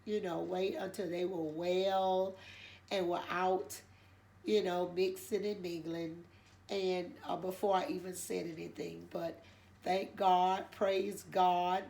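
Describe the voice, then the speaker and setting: A woman's voice: fairly low pitch, flat delivery, slow pace, gravelly, darkish tone. An older woman hosting her own channel, chatting casually and telling a church story in a nasal, congested voice, rambling with many fillers. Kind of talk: casual chat.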